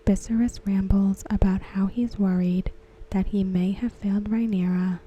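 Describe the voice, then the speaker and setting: A woman speaking in a soft unvoiced whisper for an ASMR recap, slow and even throughout. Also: medium pitch, lo-fi sound, slight background noise. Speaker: ASMR creator.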